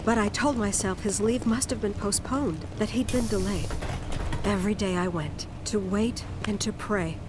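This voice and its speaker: Woman acting as middle-aged adoptive mother, sorrowful, composed, measured, mid-range voice, game cutscene. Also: mid-range voice, background noise, close to an everyday voice. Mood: wistful. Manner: measured, reflective. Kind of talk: dramatic storytelling in a game cutscene.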